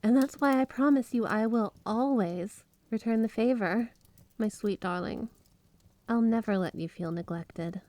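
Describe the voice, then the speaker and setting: A woman playing a flirty, obsessively devoted yandere wife in an intimate ASMR roleplay, gushing straight to the listener with drawn-out emphasis. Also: a little high, dark tone, no echo, close to an everyday voice. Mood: fond, tender. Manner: gushing, breathy giggles, drawn-out emphasis on words.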